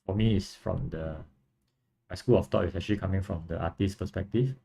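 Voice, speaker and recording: Low gravelly Singaporean man chatting. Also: fairly quick, slight background noise. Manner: relaxed, rambling, frequent fillers and restarts. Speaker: livestream host and model kit builder. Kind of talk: casual livestream chat answering viewers.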